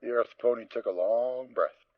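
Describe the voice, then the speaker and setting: A man narrating a fan audio drama in an expressive storytelling manner. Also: medium pitch, moderate pace, no room sound. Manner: expressive reading. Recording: dull, dry lo-fi recording.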